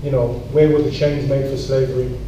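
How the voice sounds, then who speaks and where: Man, medium pitch, punchy rhetorical questions, very echoey noisy recording. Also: level tone, a little fast, warm tone. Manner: punchy, challenging, wry. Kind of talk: informal talk with rhetorical questions. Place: large echoey room at a public event. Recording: very echoey hall, distant mic, clear noise.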